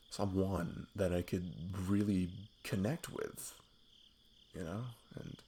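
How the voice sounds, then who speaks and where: A man playing a sweet, nervous best friend in an intimate ASMR roleplay, halting and self-deprecating as he opens his heart. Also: low voice, vocal fry, darkish tone, slight background noise, exaggerated voice. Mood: tender, wistful.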